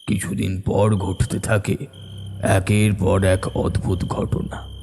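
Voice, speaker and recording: Slow, low-voiced male storyteller. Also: gravelly, warm tone, slight background noise. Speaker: narrator. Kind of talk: scripted story narration, first person.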